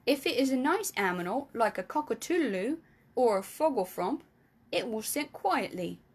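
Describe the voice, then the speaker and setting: A woman voicing an earnest, excitable male giant in a children's storybook, with emphatic, folksy, muddled speech. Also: a little high, normal speed, breathy edge, heavily acted. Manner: emphatic, folksy mangled grammar. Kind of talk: children's storybook character reading.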